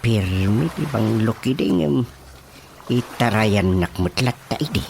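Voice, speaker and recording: A man's voice: on the low side, lively intonation, unhurried, creaky, darkish tone, slight background noise. Flustered, wildly swooping Ilocano chairman. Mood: musing. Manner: exclamatory, stammering, muttering to himself. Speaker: radio drama actor. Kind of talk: comic radio drama acting. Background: prominent music.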